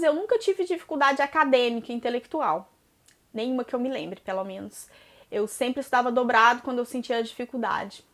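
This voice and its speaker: A Brazilian woman in her thirties speaks with a Brazilian Portuguese accent in a slightly high voice. She talks through a personal vlog in a relaxed, conversational way, tagging her sentences with "né?" and sometimes stumbling and restarting before she carries on. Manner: conversational, frequent "né?" tags and hesitant restarts. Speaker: vlogger. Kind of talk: personal vlog monologue answering viewer questions.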